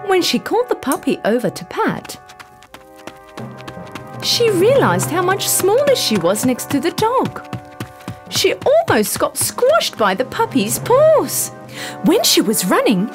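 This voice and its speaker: A woman reading a scripted bedtime story for children in an expressive, deliberate storytelling manner. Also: high voice. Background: background music.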